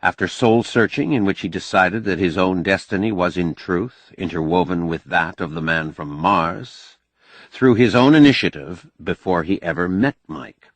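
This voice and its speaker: Male fiction narrator: unhurried, expressive storytelling, slightly low husky voice, close dry sound. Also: no echo. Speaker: audiobook narrator.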